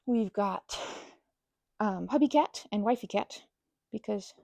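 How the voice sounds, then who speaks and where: A woman doing an ASMR best-friend roleplay, chatting in a rambling, scattered way with playful, childlike excitement. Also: mid-range voice, slow pace, gravelly, dry recording. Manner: rambling, scattered, jumping between asides.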